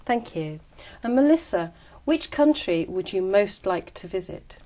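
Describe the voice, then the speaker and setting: A woman runs a speaking exam with clear, polite, carefully measured prompts. Her pitch sits in an ordinary middle range. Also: lively intonation, warm tone.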